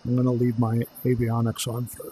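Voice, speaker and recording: A man thinking aloud haltingly as he plays a pilot in a flight simulator, mixing commentary with radio calls. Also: mid-range voice, monotone, dark tone, a little hiss or hum, poor audio.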